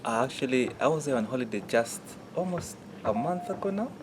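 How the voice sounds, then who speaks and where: A man's voice: mid-range voice, animated, brisk, noisy background. A man from Uganda, an animator, answering interview questions casually with hesitant fillers and restarts. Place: busy studio workspace. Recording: crowd chatter behind.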